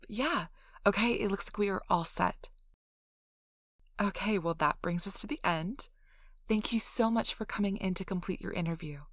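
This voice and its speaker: A woman playing a friendly, chatty intake interviewer in a soft ASMR role-play, explaining things politely and informally. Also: lowish voice, no room sound, studio quality, natural voice. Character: friendly, chatty female intake interviewer at a vampire blood donation center.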